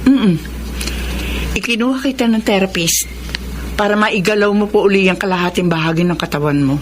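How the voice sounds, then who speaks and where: A woman's voice: mid-range voice, a little hiss or hum, lightly acted. A Filipino actress playing an exasperated, nagging woman caring for her bedridden fiancé in a radio drama. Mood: patient, weary. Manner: nagging, scolding, quick to fuss.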